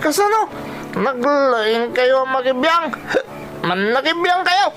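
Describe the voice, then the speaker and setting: An actress playing a defiant, sulky young grandchild in a radio drama, whining and talking back in a Filipino accent. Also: female voice, high voice, big pitch swings, takes it slowly, fairly bright tone, faint music behind, exaggerated voice.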